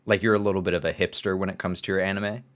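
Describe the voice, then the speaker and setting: A man's voice: low voice, little pitch movement, racing. A man hosting a casual chat, hesitant and full of stammered restarts.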